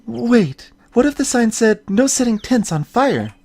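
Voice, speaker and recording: Woman voicing a slow-talking, cheerful girlish game sidekick. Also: fairly high pitch, very animated, dark tone, full character voice. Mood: eager, playful. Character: cheerful, curious young woman, naive assistant sidekick. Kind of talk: voiced video game dialogue.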